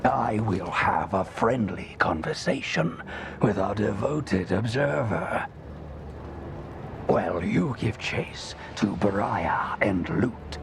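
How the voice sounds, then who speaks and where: Gravelly, slow, menacing grand master. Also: male voice, lowish voice, animated, noisy background, heavily acted. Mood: silky, commanding. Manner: mock-courteous, haughty, deliberate. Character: smug, menacing grand master of a blade order. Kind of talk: dramatic fantasy game villain acting. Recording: clear music under the voice.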